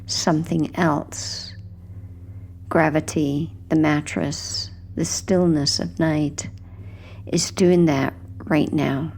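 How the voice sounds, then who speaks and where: Woman, gravelly creak, very slow delivery, gentle hypnosis narration, hushed, soft trailing endings. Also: deep voice, fairly even intonation, a little hiss or hum. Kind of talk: guided sleep hypnosis, soothing scripted narration.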